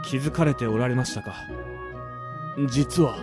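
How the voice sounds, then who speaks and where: An actor playing a stern, worried butler in a radio drama, speaking in stiff, archaic, very polite phrases with music behind him. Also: male voice, mid-range voice, normal speed, close to an everyday voice. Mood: grave, worried. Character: formal, stern, worried elderly male butler. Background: background music.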